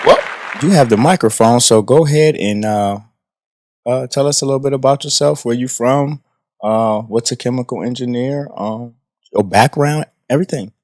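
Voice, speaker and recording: A man hosting a podcast, introducing a guest slowly and joking loosely with his co-hosts. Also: medium pitch, darkish tone, a faint crowd. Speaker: podcast host. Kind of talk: casual podcast chat.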